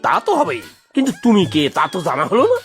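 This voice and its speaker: A woman's voice: medium pitch, wildly swooping pitch, fast, soft background music. Woman as a wildly swooping, pompous householder. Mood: puzzled. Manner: pompous, questioning with tag words. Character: boastful, inquisitive, welcoming well-off older householder. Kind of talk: children's cartoon voice acting.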